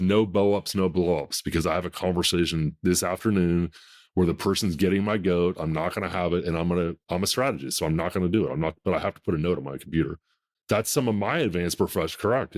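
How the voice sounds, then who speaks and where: A man in his fifties with a deep voice, hosting a podcast in a blunt, emphatic, self-assured way. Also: a little mellow. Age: 50s. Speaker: podcast host. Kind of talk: casual podcast conversation.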